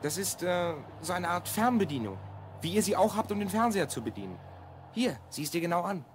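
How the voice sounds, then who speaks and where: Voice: mid-range voice, very animated, brisk, noisy background, natural voice. A performer playing a secretive boy of twelve from the future in an audio drama, explaining things confidingly. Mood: conspiratorial. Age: child character, twelve. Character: Rhonn, secretive stranded boy time traveller from the future. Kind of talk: German audio drama acting.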